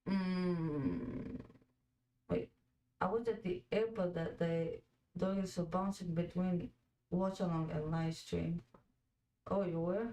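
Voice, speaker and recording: A woman in her twenties with a lowish voice, chatting unhurriedly on a live fan stream. She is a Croatian native speaker who laughs easily and answers viewer comments as they come in. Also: steady tone, slow, pauses often, dark tone, poor audio. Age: 20s. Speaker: fan panel host. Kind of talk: casual live watch-along chat.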